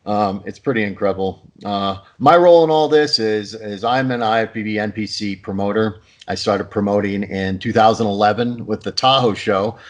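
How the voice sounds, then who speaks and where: A man speaks as a podcast guest in a low voice. He tells his story with enthusiasm, circling back and restarting his sentences as he goes. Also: moderate pace. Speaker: guest, show promoter. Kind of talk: casual interview talk.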